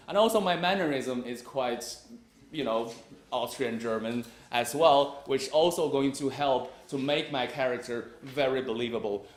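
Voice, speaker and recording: A young man giving a competitive debate speech, arguing his case with frequent hesitations and fillers. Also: mid-range voice. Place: university debate room. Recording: echoey room.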